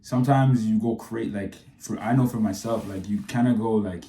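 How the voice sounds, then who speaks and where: A man's voice: lowish voice, steady tone, moderate pace, warm tone, roomy sound. A man hosting a reaction video, chatting in a slangy, laid-back and easygoing way. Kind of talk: casual reaction commentary.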